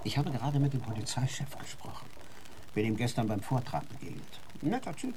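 Casting: male actor as chummy, crooked tour manager for radio drama, glib and cajoling, highly animated pitch, faint noise behind. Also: brisk, natural voice. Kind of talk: German radio drama acting.

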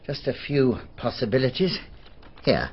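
A plain-spoken helper. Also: male voice, mid-range voice, very animated, husky. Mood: matter-of-fact. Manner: matter-of-fact, measured. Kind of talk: BBC radio drama. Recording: dull archive radio recording.

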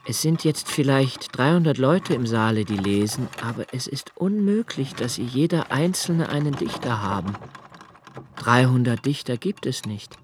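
An actor playing a sensitive, impoverished young poet, speaking an introspective, deliberate monologue in a radio play. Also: male voice, mid-range voice, expressive, slight background noise, lightly acted. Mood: proud, self-justifying. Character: sensitive, brooding, poor young foreign poet and diarist. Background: music.

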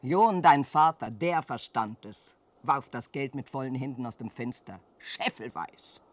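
A woman's voice: measured pace, no echo. An actress playing a poor old widowed mother in a classic radio play, scolding and lamenting her lazy son. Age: older. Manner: scolding and lamenting, swings from shrill cries to hushed sighs.

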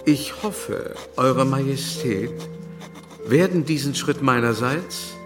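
Anxious king, formal, hesitant, weary, mid-pitched, radio drama, light music underneath. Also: lively intonation, slow. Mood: formal, guarded.

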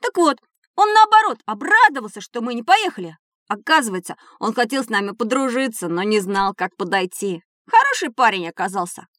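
A school kid boy, high voice, super animated, bit of a show-off, kids audio drama. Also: a little fast, no echo, clean studio sound. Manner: animated storytelling. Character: schoolboy, eager boastful young storyteller. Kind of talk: scripted educational audio drama.

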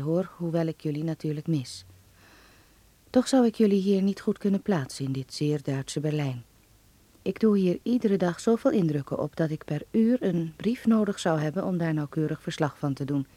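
A man's voice: on the high side, warm tone, dry recording. An actor playing a man who chattily reads out his letter home in a radio drama. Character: letter writer reporting chattily home from Berlin.

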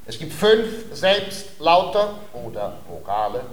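Pedantic tutor, rather high voice. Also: male voice, big pitch swings, slow, roomy sound, a little hiss or hum. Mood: patient instruction. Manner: didactic, precise, formal. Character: pedantic, patient male language tutor, self-important. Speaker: actor in a radio drama. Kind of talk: theatrical classic-comedy radio acting.

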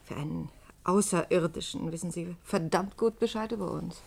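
A woman's voice: lowish voice, moderate pace, vocal fry, darkish tone, breathy edge. Sceptical, probing female reporter. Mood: wry, sceptical. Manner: direct, probing, wryly teasing. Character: sceptical, curious, plucky female radio reporter. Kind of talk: German radio play acting.

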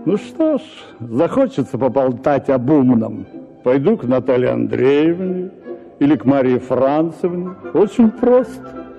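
An actor playing a smug middle-aged husband, patronizing and condescending, in a radio play. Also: male voice, medium pitch, very animated, a little slow, vocal fry, mellow. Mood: musing. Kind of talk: radio drama acting. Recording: narrow phone-like radio audio with music.

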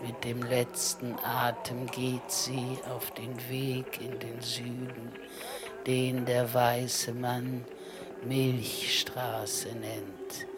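A man narrating a poetic radio drama in a solemn, deliberate way. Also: medium pitch, flat delivery, heavy background noise. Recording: music behind the voice. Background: music.

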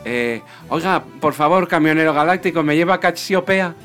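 A man's voice: on the high side, normal speed, fairly bright tone. Playful Spanish man chatting over music. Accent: Spain. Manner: joking, playful. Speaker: radio show co-host. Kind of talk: casual chat. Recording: music behind the voice.

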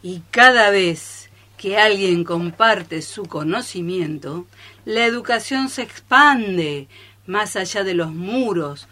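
A woman's voice: mid-range voice, animated, slow pace, bright, forceful tone. A woman playing a reflective, determined teacher in an amateur radio play. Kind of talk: amateur radio theatre acting.

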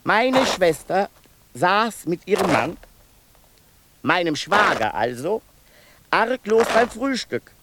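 Radio-play asylum inmate, pedantic and fixated, a higher voice over steady hiss. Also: male voice, slow pace, long pauses, heavily acted. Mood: fixated, solemn. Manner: long-winded, circling, endlessly repeating himself. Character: male asylum inmate, obsessive pedantic storyteller. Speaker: inmate narrating family deaths. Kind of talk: 1990s radio play acting.

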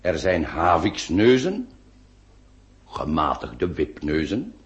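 A vain nineteenth-century surgeon in a radio play. He is pompous and soothing, and his pitch leaps and dives dramatically as he lectures in formal, weighty phrases. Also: huge pitch swings, highs cut off, exaggerated voice. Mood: matter-of-fact. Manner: pompous, formal, deliberate emphasis. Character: self-assured, vain 19th-century surgeon, soothing and pedantic. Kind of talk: theatrical Dutch-language radio play acting.

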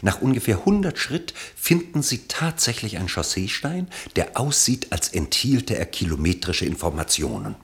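A man narrates a radio play as a literary storyteller. His low voice has a gravelly edge and moves expressively up and down, with an ironic, elevated delivery. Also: big pitch swings, moderate pace, few pauses.

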